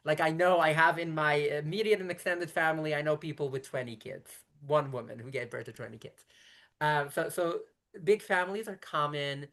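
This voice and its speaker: A woman rabbi in her thirties from brooklyn, yiddish first language, talks fast in long explaining runs, keeps restarting and fixing her words mid-sentence. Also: low-pitched, fairly bright tone. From Brooklyn, New York. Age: 30s. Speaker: rabbi, interview guest. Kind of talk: conversational interview.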